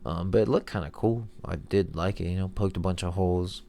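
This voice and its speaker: A man's voice: low voice, steady tone, fairly quick, warm tone. A young man with a stuffed-up, nasal voice, rambling in a laid-back, slangy way as he comments over his own video. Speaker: video host. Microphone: headphones monitoring, voice-over mic.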